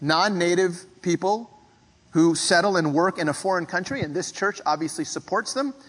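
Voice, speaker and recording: A man from the Philippines preaching a sermon in a measured, reflective way, often clearing his throat. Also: high-pitched, long reverb tail, rough recording. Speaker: pastor.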